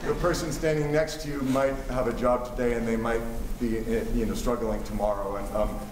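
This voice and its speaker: A man's voice: mid-range voice, steady tone. Middle-aged guy thanking the crowd after a show, lots of ums, noisy roomy theater. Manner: earnest, halting, many fillers and restarts. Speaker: actor giving a curtain speech. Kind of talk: heartfelt curtain speech to a theater audience. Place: large theater stage. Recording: roomy theater sound from far off.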